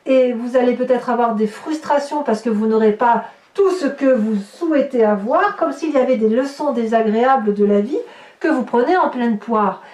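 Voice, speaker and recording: A woman's voice: a little high, darkish tone. A woman giving an improvised tarot reading to the viewer, explaining and repeating phrases for emphasis. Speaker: tarot reader. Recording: roomy echo, distant from the mic.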